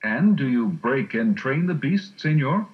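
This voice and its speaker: A man's voice: mid-range voice, sing-song, measured pace, voice pushed up. A man voicing a polite, coolly inquisitive aristocratic don in a storybook. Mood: haughty, questioning. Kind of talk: dramatised storybook reading. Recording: muffled storybook recording.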